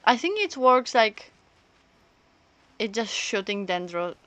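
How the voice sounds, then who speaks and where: A woman's voice: medium pitch, very animated, slow, fairly bright tone, no room sound. A woman doing casual live gameplay commentary, chatting and thinking aloud as she streams. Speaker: game streamer.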